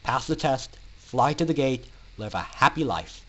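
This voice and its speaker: A man narrating a story in a theatrical, emphatic style with dramatic pauses. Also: mid-range voice, expressive, pushed and bright, rough recording. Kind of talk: dramatic fan-fiction story reading.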